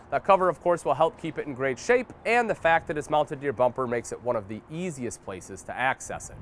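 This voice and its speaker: An American man in his thirties giving an explanatory product walkthrough as a presenter, addressing viewers directly in a folksy way. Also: fairly high pitch. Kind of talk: sales walkthrough review, explanatory. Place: outdoor paved RV lot between parked trailers.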